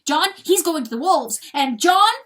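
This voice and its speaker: A woman's voice: high voice, big pitch swings, normal speed, fairly bright tone, pitched above the performer's own voice. A woman voicing an agitated, stubborn male weasel in a storybook, blustering and repeating himself in broken grammar. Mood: roaring despair. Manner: blustering, repetitive, third-person broken speech.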